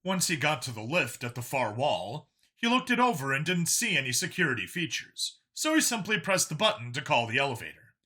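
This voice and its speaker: Bright, forceful male story narrator. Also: on the high side, sing-song, fairly quick. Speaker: audiobook narrator. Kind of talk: dramatic scripted narration of science fiction.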